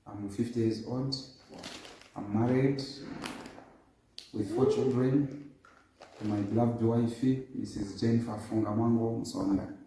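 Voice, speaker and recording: A man in his fifties giving a formal interview, recounting his background in a measured way. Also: fairly low pitch, fairly even intonation, warm tone, a little hiss or hum. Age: 50s. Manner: measured, formal, lists dates and places. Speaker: interview guest. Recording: echoey, distant room sound.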